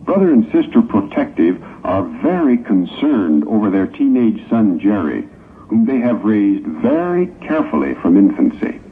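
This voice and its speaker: A man narrating a scripted morality play in a measured, explanatory manner. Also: lowish voice, big pitch swings. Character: male stage narrator of a congregation morality play. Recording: old tinny narrow-band tape with hiss.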